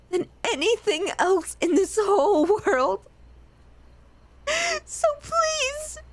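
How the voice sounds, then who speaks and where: A woman playing an obsessive, self-loathing young yandere in an intimate ASMR roleplay, with an emotional, halting, pleading delivery. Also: very high voice, no echo, exaggerated voice. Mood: tearful pleading.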